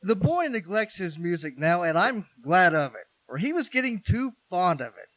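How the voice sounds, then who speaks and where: A stern old grandfather, played by a man, questions a girl with gruff, dry probing. His voice sits high and bright and swings widely. The sound is close and dry. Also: very animated, moderate pace, no room sound. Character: gruff elderly rich grandfather, stern but kind. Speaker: radio play actor. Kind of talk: 1940s radio acting.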